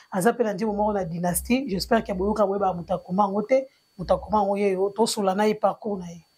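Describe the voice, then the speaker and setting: A woman's voice: medium pitch, darkish tone, husky. A woman hosting an online show who interviews a guest in a chatty, hesitant way.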